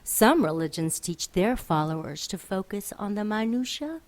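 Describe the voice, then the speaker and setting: An actress in a radio drama delivering a scripted monologue as a solemn, scornful judge of human morals. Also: female voice, mid-range voice, sing-song, no echo, close to an everyday voice. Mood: grave disdain. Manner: solemn, deliberate. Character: grave, scornful narrator judging human morality.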